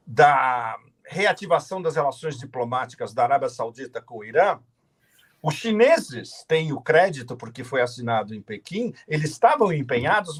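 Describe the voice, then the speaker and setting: A man with a husky, fairly high voice that swings widely in pitch, talking over a video call. He speaks with passion, stresses his points hard and often stumbles and restarts mid-phrase. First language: not Portuguese; says he no longer speaks it. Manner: passionate, halting restarts, emphatic. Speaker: guest commentator.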